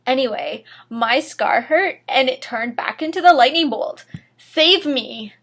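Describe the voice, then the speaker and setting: Woman playing teenage boy wizard, high-pitched, loud, anguished, overwrought, melodramatic storybook delivery. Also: expressive, measured pace, full character voice. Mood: pleading. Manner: shouting, exclaiming, overwrought.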